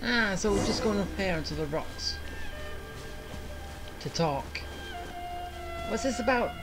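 A woman's voice: medium pitch, animated, a little slow, over music, poor audio. A woman giving casual commentary as the host of a game playthrough.

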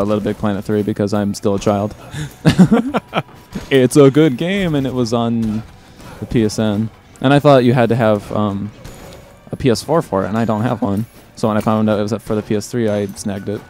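A man's voice: fairly low pitch, normal speed, darkish tone. A man co-hosting a casual gameplay chat, playful and full of puns and giggles. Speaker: co-host. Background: game music.

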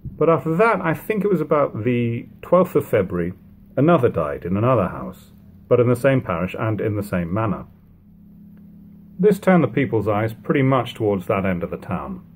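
A man in his thirties giving a measured, even reading of old prose at home on a webcam. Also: on the low side, a little hiss or hum. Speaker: reader of a classic book. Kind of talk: unhurried audiobook reading. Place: living room at home.

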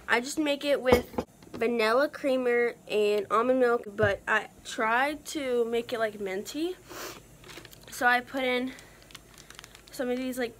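A woman's voice: fairly high pitch, a bit bright, slight background noise. A teenage girl chatting casually into her phone at home, sounding congested and sniffly, rambling with lots of fillers. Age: teens. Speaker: vlogger. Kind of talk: casual vlog chat. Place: home rooms and bedroom. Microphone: phone held at arm's length.

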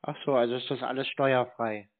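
Man, medium-pitched voice, laconic, naive-sounding questions, casual, thin telephone sound. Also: fairly even intonation, fairly quick. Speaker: prank call recipient playing along. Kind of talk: casual phone chat. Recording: tinny narrow phone line.

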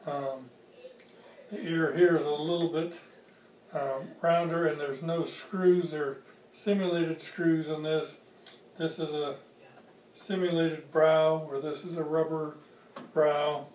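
A man's voice: a little high, fairly even intonation, slow pace, long pauses, a little mellow. A man in his sixties giving a casual, unscripted product comparison in a plain-spoken, hesitant way, speaking in short phrases. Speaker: hobbyist video reviewer. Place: home kitchen, indoors. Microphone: camera mic a few feet away. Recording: distant mic, roomy echo, faint noise.

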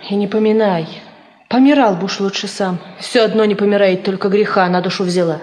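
A woman's voice: medium pitch, fairly even intonation, brisk, a little mellow, lightly acted. A woman acting an anxious, worn-out peasant wife in a Soviet radio play, with rustic, lamenting speech. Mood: weary, anxious. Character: anxious, worn-out peasant wife plotting against her husband. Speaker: radio drama actress. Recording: 1950s radio play recording.

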